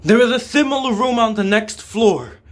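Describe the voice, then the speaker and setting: An alert young-sounding game warrior, acted out by a man, pitched well up and pushed louder. The delivery is urgent and commanding, like a soldier on guard. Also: animated, normal speed. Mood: brisk, alert.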